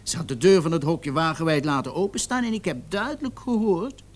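A man's voice: a little high, brisk, lightly acted. An actor playing a stubborn young male suspect in a radio drama, insisting under interrogation and sometimes stumbling over his words. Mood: reasoning, careful. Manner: insistent, hesitating and repeating himself when pressed.

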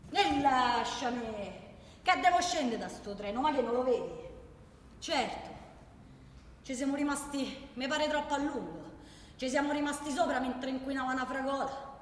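An actress playing a defiant, impassioned protester in a theatrical monologue, declaiming insistently in a Roman Italian accent with emphatic repetition. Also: female voice, high-pitched, moderate pace, lightly acted. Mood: exasperated, insistent. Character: defiant, impassioned woman protester ranting on a train. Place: large reverberant room, far from microphone. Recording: distant, echoey hall with background noise.

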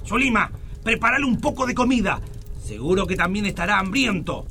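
Radio drama gravedigger, played by a man: blunt, suspicious father, gravelly and high-voiced. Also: animated, a little fast, sharp tone, slight background noise, full character voice. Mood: gruff, wary. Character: gruff, suspicious gravedigger father, hard-drinking and grudging.

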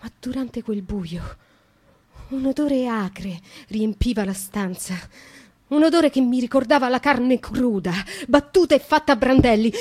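An actress playing a young, tormented noblewoman who slowly and intimately confesses her past to a nun in a radio monologue. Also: fairly high pitch. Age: young adult.

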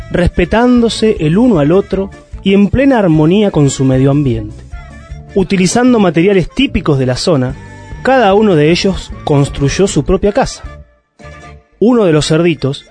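A man narrates a scripted tale in a high-pitched voice that moves up and down expressively. He reads as a storyteller, with light music playing behind him. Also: darkish tone.

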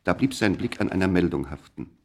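Serious storyteller for a radio play, really low voice, measured scripted narration. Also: male voice, animated, vocal fry, a little mellow, husky, full character voice. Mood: measured, sombre. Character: grave, measured male storyteller of a mystery tale.

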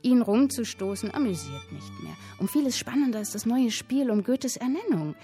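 A woman performing literary narration in a radio drama, telling a historical tale in a deliberate, dramatic way with clipped phrases. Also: a little high, very animated, mellow. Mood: dramatic, wry. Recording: music under the voice. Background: music with violin.

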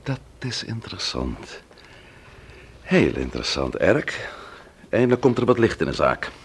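An actor playing a composed, probing police investigator in a Dutch radio drama, speaking in a measured, questioning way. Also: male voice, deep voice, very animated, full character voice. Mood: probing, intrigued. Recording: radio play with faint background noise.

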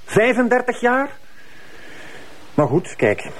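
A man's voice: extremely high pitch, very animated, slow, a little hiss or hum, heavily acted, low-quality recording. An actor with a Flemish accent playing a shrewd middle-aged man in a TV crime drama, sceptical and pressing, talking with familiarity. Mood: probing. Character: shrewd, probing middle-aged man confronting his old friend. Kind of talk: naturalistic TV crime drama acting.

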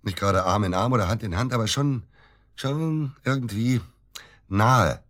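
An actor playing a bitter, agitated middle-aged widower in a naturalistic radio drama, halting and repeating himself for emphasis. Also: male voice, lowish voice, fairly bright tone, lightly acted. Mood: agitated, accusing.

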